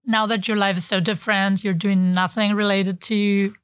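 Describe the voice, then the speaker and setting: Measured woman hosting an interview. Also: mid-range voice, level tone, smooth, no echo, clean studio sound. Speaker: podcast host. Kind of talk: interview host, scripted intro.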